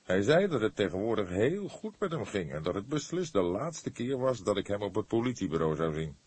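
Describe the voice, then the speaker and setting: A seasoned senior police detective from an audiobook, played by a male reader. The voice is low and husky, measured and patient, earnest in explaining things. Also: big pitch swings, a little mellow. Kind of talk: audiobook character dialogue.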